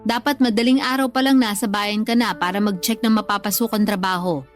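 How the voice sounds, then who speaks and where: A woman's voice: mid-range voice, fairly even intonation, fairly quick, faint music behind, close to an everyday voice. A woman playing a harsh, selfish mother in a Tagalog radio drama, scolding and domineering with a Filipino accent. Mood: bossy. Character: harsh, selfish, money-minded mother scolding her grown child.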